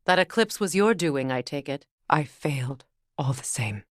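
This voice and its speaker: A woman playing a proud, wounded warrior goddess and queen in a video game, measured, regal and dry. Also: low-pitched, expressive, no echo. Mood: somber, reflective. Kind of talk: dramatic video game voice acting.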